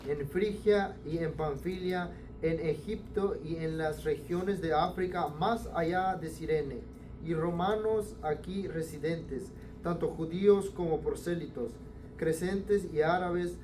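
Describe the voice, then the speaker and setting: A man in the congregation, reading a scripture passage aloud slowly and carefully in a church. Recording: echoey room with faint rumble.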